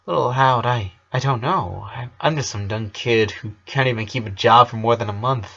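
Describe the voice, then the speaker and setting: A man's voice: mid-range voice, moderate pace, vocal fry, sharp tone. Man voicing an earnest young guy, slightly muffled. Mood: sad, unsure. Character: Bobby, earnest young man consoling a boy.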